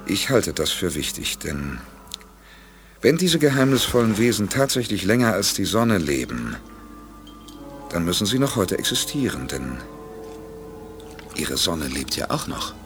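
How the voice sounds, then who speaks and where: An actor playing an assured, decisive space commander in a science fiction radio drama. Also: male voice, sits low, big pitch swings, measured pace, pauses often, a bit bright, faint music behind, heavily acted. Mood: probing. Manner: assured, directing others. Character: decisive male space commander, confident, probing leader. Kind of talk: 1980s German radio drama acting.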